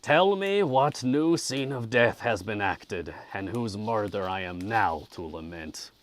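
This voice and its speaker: Low-voiced, tormented gothic sufferer read by a man. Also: a little slow. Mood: despairing. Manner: formal, mournful literary phrasing. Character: miserable, tormented male narrator of a gothic novel.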